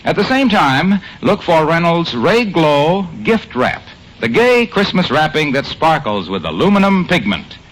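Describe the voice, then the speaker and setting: A man's voice: on the high side, sing-song, measured pace, a bit bright, poor audio. A man with an American accent reading a script as an old-time radio announcer and narrator. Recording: old radio broadcast recording. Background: music.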